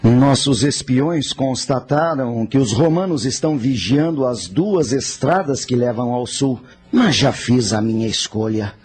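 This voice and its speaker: An actor playing a confident, commanding Carthaginian general in a radio drama, speaking like a deliberate orator. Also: male voice, medium pitch, a little mellow, soft background music, lightly acted. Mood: confident, resolute. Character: Hannibal, bold confident Carthaginian general commanding his army.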